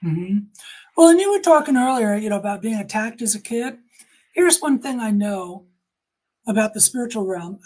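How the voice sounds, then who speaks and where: A woman's voice: mid-range voice, a little mellow, slightly rough, low-quality recording. A middle-aged woman giving earnest, emphatic personal testimony in conversation, quoting people as she tells her story.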